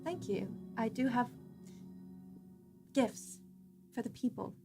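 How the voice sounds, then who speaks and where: A kind-hearted runaway princess played by a woman in a tabletop game, young and sheltered. Her voice is fairly high, with polite, guarded, formal phrasing over faint music. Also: animated, slow, vocal fry, heavily acted. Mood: gentle, polite.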